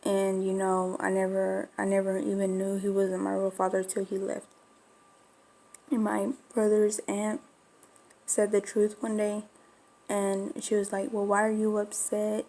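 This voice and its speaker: A woman's voice: mid-range voice, monotone, unhurried, dark tone. A woman from Florida with a slight Mexican Spanish accent, whose first language was Spanish, telling a personal story in a rambling, confiding way on her vlog. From Florida, USA. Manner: rambling, confiding, many fillers. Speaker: bulldog breeder vlogger.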